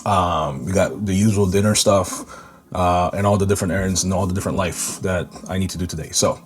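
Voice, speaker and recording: A man in his thirties from Indonesia, telling his personal story in a relaxed, rambling vlog with many ums and restarts. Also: deep voice, a little fast. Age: 30s. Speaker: vlogger.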